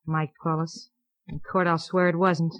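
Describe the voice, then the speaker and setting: An actress playing a bitter, world-weary city widow with a sardonic drawl, in hard-boiled old radio style. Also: female voice, deep voice, flat delivery, unhurried, no echo. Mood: weary, defiant. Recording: muffled vintage radio broadcast.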